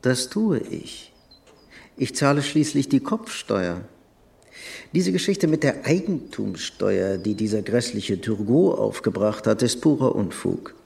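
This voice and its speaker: Slow, gravelly, haughty prince. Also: male voice, fairly low pitch, animated, darkish tone. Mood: haughty, amused. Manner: haughty, drawling. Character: condescending, slightly tipsy high-born French prince, languid. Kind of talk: radio play acting.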